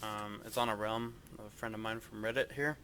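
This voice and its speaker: Monotone low-voiced man touring his game build. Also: fairly quick, a little hiss or hum. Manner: monotone, hesitant with many ums. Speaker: gaming video creator. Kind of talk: casual game walkthrough.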